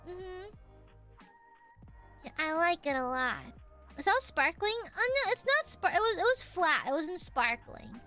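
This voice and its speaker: A woman's voice: extremely high pitch, very animated, a little slow, pauses often, sharp tone, faint music behind. A woman streamer with a nasal voice, chatting casually with her viewers, rambling, giggling and swearing freely.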